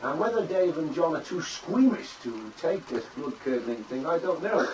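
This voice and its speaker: A man's voice: fairly high pitch, lively intonation, moderate pace, far from the mic, noisy background. A man interviewing guests as a local radio host.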